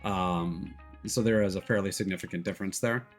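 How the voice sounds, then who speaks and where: A man streaming a video game, talking casually and explaining things in a halting, analytical way. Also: low voice, fairly even intonation. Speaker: streamer, host of a gaming live stream. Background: background music.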